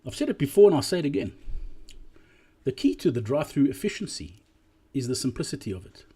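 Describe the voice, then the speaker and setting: A man giving an explanatory business presentation as a voice-over, speaking deliberately and emphatically and repeating key phrases, with a slightly husky voice. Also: mid-range voice, big pitch swings, mellow. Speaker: presenter analysing drive-through performance.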